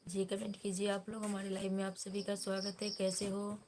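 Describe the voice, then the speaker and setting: Woman live-streamer from Bihar, flat delivery. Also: mid-range voice, normal speed, slight background noise. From Bihar, India. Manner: repetitive, friendly greetings asking viewers to comment. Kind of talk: casual live-stream chat greeting viewers.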